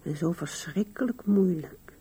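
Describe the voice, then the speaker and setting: An actress in a 1970s radio play voicing an old widow who muses hesitantly and wistfully, with a gravelly, husky voice. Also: female voice, fairly low pitch, lively intonation, unhurried, dark tone, full character voice. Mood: wistful musing. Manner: hesitant musing with sighs and murmurs. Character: musing old widow Anna, wistful, fond, wryly amused. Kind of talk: 1970s Dutch-language radio play acting.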